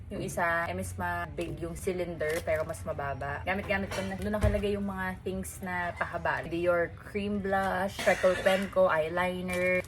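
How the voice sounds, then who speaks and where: A woman's voice: lowish voice, noisy background. A Filipino woman vlogger chatting informally and casually through a shopping haul, slipping between two languages.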